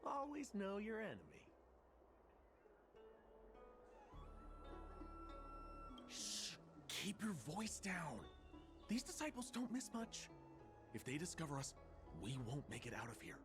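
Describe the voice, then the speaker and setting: Lively, conspiratorial male undercover soldier. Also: sits high, moderate pace, over music. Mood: cautious, confident. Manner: conspiratorial, urgent, informal. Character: wary, self-assured male undercover soldier, secretive and chatty. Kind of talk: video game voice acting.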